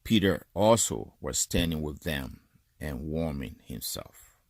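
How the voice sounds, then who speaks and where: Very deep, slow man reading scripture. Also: animated, no room sound, studio quality. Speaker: scripture reader.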